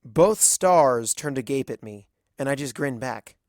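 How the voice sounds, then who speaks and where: A man's voice: medium pitch, animated, measured pace, a little mellow, no room sound, studio quality. A man reading a story aloud as a first-person narrator, in scripted narration. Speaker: fan fiction reader.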